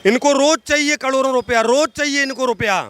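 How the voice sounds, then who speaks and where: Man, high pitch, oratorical, emphatic, declamatory, street interview opinion. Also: brisk, fairly bright tone, clear, resonant tone, no echo. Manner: emphatic, declamatory, repeats phrases for stress. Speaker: social activist giving street interview. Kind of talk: impassioned political opinion, oratorical.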